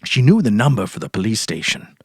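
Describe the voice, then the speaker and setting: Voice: medium pitch, big pitch swings, fairly quick, breathy edge, no room sound, clean studio sound. A narrator giving a dramatic reading of a story.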